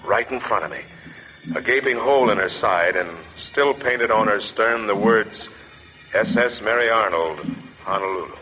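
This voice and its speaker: An actor playing a tough, cool-headed salvage diver in laconic 1940s radio drama style. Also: male voice, lowish voice, moderate pace, lightly acted. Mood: brooding narration. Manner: laconic, hard-boiled. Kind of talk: 1940s radio acting, first-person narration and dialogue. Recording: muffled, very noisy 1940s radio recording.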